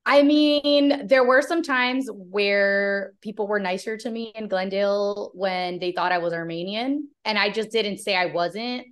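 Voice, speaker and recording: Cuban-American woman, comedy guest, chatty, laughs a lot, quick bursts. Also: medium pitch. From Cuban background. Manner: laughs often, talks in quick bursts with many "like"s. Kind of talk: casual chat.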